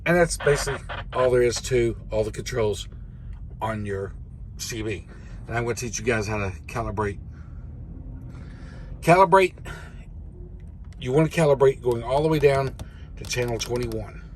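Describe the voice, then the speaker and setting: Clear vehicle noise sits behind a middle-aged American man explaining things casually from a truck cab. His voice is medium in pitch, and he talks in a folksy, plain-spoken way, like a working driver showing others the ropes. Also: normal speed, pauses often. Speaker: truck driver giving a tutorial.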